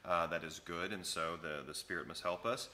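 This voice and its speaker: A man in his thirties, a pastor, explaining and reading a church covenant to new members in a relaxed, conversational way, recorded on a close camera in an empty sanctuary. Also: low voice, moderate pace. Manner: conversational, explains each passage after reading it, many fillers. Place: empty church sanctuary with wooden pews, indoors. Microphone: camera at close range.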